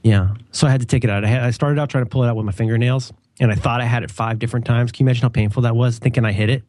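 A man's voice: medium pitch, steady tone, very fast, darkish tone, no echo. Congested, rambling male podcaster, close and dry. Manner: rambling, digressive, wryly joking. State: congested with a cold. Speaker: podcast co-host. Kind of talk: casual podcast chat and ad read.

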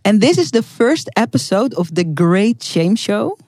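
A woman's voice: medium pitch, very animated, measured pace, a little mellow, no echo, studio quality. Earnest woman. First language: Dutch. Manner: earnest, heartfelt, emphatic. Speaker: podcast host and guest. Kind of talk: scripted podcast intro and casual chat.

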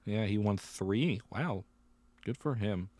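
A man's voice: on the low side, slow pace, darkish tone, no room sound. A man co-hosting a podcast, chatting casually and conversationally, often repeating a phrase for emphasis. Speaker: podcast co-host. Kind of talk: casual chat about musical theater and pop culture.

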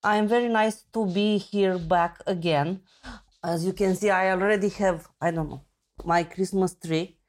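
A woman's voice: fairly low pitch, steady tone, unhurried, a little mellow. Woman, searching for words. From Romania. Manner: searching for words, many uhs. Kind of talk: casual podcast chat.

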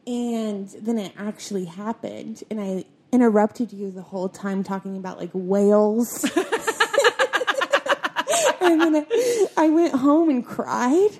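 A young woman with a squeaky voice, chatting on a podcast and telling stories with frequent giggles. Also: takes it slowly, warm tone, breathy edge. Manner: giggly, chatty storyteller, laughs often. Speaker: podcast guest. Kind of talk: casual chat.

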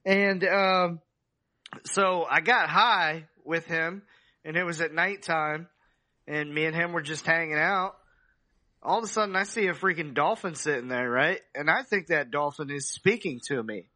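A man with a notably high, bright voice tells a comic story to friends. His talk is loose and rambling, full of casual slang and swearing, like a podcast co-host hanging out. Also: measured pace.

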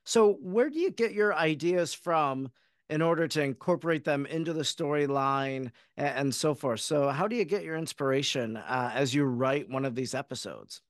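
An American man with a smooth, fairly high voice hosts a conversational interview. He talks in a wordy, rambling way, and the sound is dry and close. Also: moderate pace, no echo. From Wisconsin, United States. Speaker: podcast host, interviewer.

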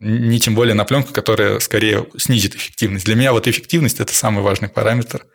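A man with a somewhat low voice, relaxed and conversational as a podcast guest. He talks at length and thinks aloud, with plenty of fillers along the way. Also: quick pace. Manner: thoughtful, detailed, with frequent fillers. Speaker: podcast guest, landscape photographer.

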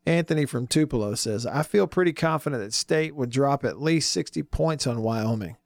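Hoarse, chatty male radio host. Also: mid-range voice, moderate pace, dry recording, studio quality. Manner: chatty, jokey, lots of fillers. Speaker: radio talk show host. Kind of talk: casual sports radio talk. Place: radio studio.

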